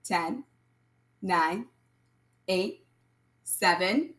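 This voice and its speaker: Female primary teacher, lower-pitched, very unhurried delivery, read-aloud counting lesson for little kids, leaves gaps for answers. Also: fairly bright tone. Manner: instructional, addressing young children, pausing for answers. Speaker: primary school math teacher.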